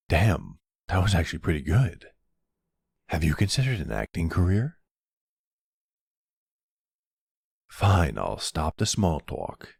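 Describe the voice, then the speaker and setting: A man playing a flirty, cocky vampire with a gravelly, husky voice, teasing the listener in an intimate ASMR roleplay. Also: sits low, dry recording, clean studio sound, heavily acted. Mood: wry, bossy. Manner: teasing, sardonic drawl.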